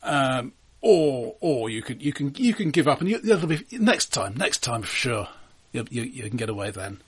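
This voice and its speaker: A man with a husky voice co-hosting a film podcast, talking off the cuff in a hesitant, stammering, wryly critical way. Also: mid-range voice, big pitch swings, moderate pace. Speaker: podcast host. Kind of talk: unscripted film discussion.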